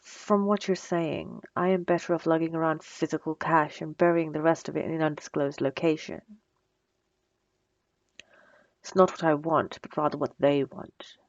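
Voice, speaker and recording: Low-voiced sardonic female supervillain played by a woman. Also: fairly even intonation, moderate pace, few pauses, dry recording, dull audio. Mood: teasing, dry. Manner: teasing, dry, conversational. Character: female supervillain, bossy and sarcastic, secretly flustered by compliments. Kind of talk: ASMR roleplay audio drama.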